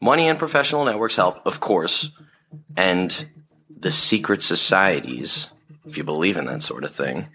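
A man playing a casual, teasing high school senior in an audio drama. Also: animated, moderate pace, sharp tone, a little hiss or hum, exaggerated voice. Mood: playful.

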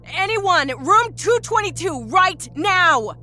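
A woman's voice: a little high, animated, moderate pace, fairly bright tone, a little hiss or hum, pitched above the performer's own voice. A woman narrator voicing a harried, short-tempered nurse under strain, urgent and prone to stammering, in an audiobook. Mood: alarmed, snapping. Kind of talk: dramatic audiobook character acting.

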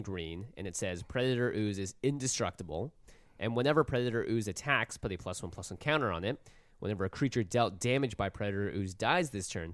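Male podcast co-host, lowish voice, dry sound, informal and animated storyteller. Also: no echo. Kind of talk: casual storytelling chat.

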